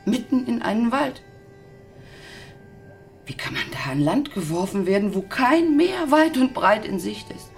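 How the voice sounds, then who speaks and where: A woman's voice: a little high, big pitch swings, a little slow, gravelly, pauses often, faint music behind, exaggerated voice. A woman playing an irritable, worn-out middle-aged working-class mother in a German radio drama, volatile and theatrical. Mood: bitter, wistful.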